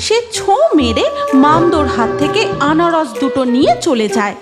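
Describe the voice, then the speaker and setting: Female cartoon narrator, high and very animated, scripted storytelling over music. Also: moderate pace. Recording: music behind the voice.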